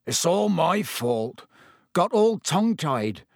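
A man reading an audiobook, voicing a big, gruff male groundskeeper who is grieving and speaks in rough dialect. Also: a little high, sing-song, takes it slowly, no room sound, clean studio sound, voice pushed up, exaggerated voice. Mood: sorrowful. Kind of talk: audiobook character voice.

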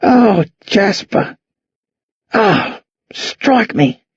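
A man playing a bewildered old Australian man, husky. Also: high voice, lively intonation, no echo, lo-fi sound, pitched above the performer's own voice, exaggerated voice. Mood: distressed, pleading. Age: older. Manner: halting, exclaiming, questioning. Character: confused old man, lonely, bewildered, pleading.

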